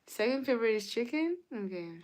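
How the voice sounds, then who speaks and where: A woman with as her hosting a casual livestream, answering viewers' comments and sometimes dropping to a soft whisper. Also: a little high, animated. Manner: slow, chatty, often drops to a soft whisper. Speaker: livestream host.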